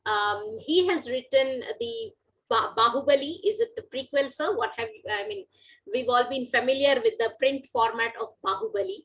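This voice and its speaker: Female interviewer, Indian accent, voice a bit on the high side. Informal hosting with hesitant questions. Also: normal speed, a bit bright, low-quality recording. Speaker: interviewer, magazine journalist.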